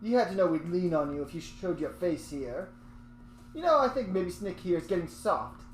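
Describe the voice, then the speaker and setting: A man's voice: sits high, very animated, normal speed, reverberant, faint music behind. A man voicing a pushy male street thug collecting a debt, brusque and threatening. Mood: menacing.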